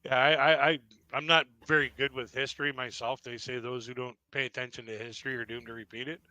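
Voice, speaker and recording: A man's voice: mid-range voice, level tone, quick pace, sharp tone, dry recording. A man hosting a live-stream interview who jokes about himself. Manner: joking, self-mocking.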